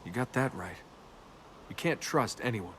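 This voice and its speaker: Young rogue adventurer for a game, cynical n wry, casual delivery, some background ambience noise. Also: male voice, fairly low pitch, animated, measured pace. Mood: bitter. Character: cynical, roguish young male adventurer and tomb robber. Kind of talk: naturalistic video game dialogue.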